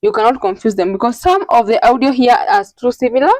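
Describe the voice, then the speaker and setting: A woman hosting a step-by-step software tutorial, talking instructionally and often checking in with a quick "okay". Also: on the high side, dry recording. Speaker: tutorial host.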